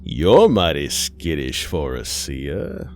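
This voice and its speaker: A man's voice: extremely low pitch, wildly swooping pitch, takes it slowly, vocal fry, heavily acted. Sly female spirit for horror audiobook, played by a man: folksy, sweetly menacing, taunting, faint music beneath.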